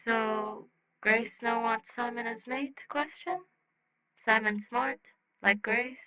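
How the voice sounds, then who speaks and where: A blunt alien friend acted out by a woman with a bright, forward, slightly high voice. The creature speaks in clipped, broken grammar, like an earnest and loyal companion in an audiobook. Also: steady tone, takes it slowly, full character voice, low-quality recording. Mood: insistent, curious. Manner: clipped broken grammar, tags questions with the word "question". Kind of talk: audiobook fan fiction character voice.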